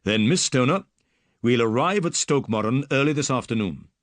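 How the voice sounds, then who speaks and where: A man voicing a calm, clever male detective who speaks briskly. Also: medium pitch, no room sound, voice pushed up, natural voice.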